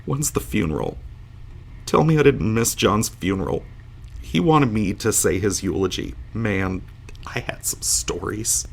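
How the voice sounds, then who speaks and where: Low-voiced groggy male starship officer, acted by a man. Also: lively intonation, measured pace, vocal fry, slight background noise. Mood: anxious, shaken. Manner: blunt, sardonic, groggy. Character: dazed, wisecracking male starship officer waking from long stasis. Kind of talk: audio drama acting.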